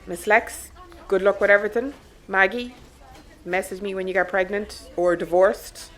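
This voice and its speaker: A dry, sardonic Irishwoman captaining a small spaceship, played by an actress in an audio drama. She is wry and grudging, with a middle-pitched voice. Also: steady tone, moderate pace, fairly bright tone, lightly acted, poor audio. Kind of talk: scripted audio drama acting.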